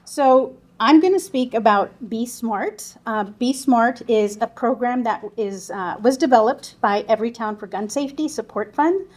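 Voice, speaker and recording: A woman in her forties, a native Spanish speaker who grew up on the Texas-Mexico border, presents on a conference panel. She talks quickly and earnestly, with small stumbles, in a voice that sits a little high. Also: darkish tone. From Texas-Mexico border, Southwest Texas. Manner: quick, earnest, slightly halting speech. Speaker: panelist, volunteer group lead.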